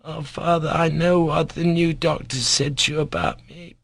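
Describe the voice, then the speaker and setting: Man voicing a plaintive, high-pitched sick little boy. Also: steady tone, normal speed, vocal fry. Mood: tender pleading.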